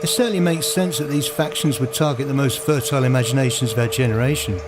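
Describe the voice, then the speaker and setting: A man reading dramatic, literary first-person narration for a radio play in a deliberate storytelling manner. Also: mid-range voice. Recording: music behind the voice. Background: music.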